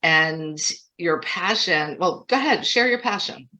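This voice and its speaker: A woman's voice: on the low side, expressive, a little slow, noisy background. A woman hosting an online interview show, introducing her guest with enthusiasm. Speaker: host.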